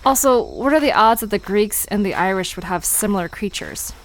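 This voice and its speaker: A woman's voice: medium pitch, very animated, brisk, a little hiss or hum, low-quality recording. An actress playing a curious, playful young woman in an audio drama, inquisitive, teasing and a little skeptical. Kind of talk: conversational audio drama acting. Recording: music and crickets behind voice.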